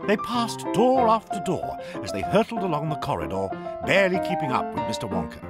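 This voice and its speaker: A man's voice: on the high side, huge pitch swings, fairly quick, over loud music. A man narrating a dramatised children's audiobook in a scripted storytelling style.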